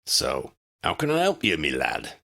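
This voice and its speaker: A man voicing a gruff, shady middle-aged wizard dealer who talks in rough slang. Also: a bass voice, huge pitch swings, a little slow, pushed and bright, full character voice. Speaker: voice actor.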